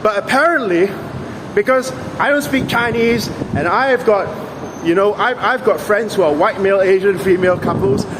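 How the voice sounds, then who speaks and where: A man's voice: sits high, moderate pace, noisy background. A man giving an opinionated, sarcastic monologue for his vlog, with an emphatic and argumentative way of talking. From Singapore. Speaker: vlogger.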